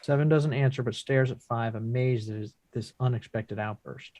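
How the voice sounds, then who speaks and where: A man serves as narrator, reading scripted stage directions. His voice is mid-pitched, and the recording is dry, free of room echo. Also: fairly even intonation, moderate pace, a little mellow. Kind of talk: scripted narration at a table read.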